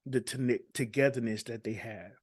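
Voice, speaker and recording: A man's voice: medium pitch, moderate pace, slightly rough, dry recording, studio quality. A man speaking as a dancehall artist in an interview, talking casually with many ums and restarts.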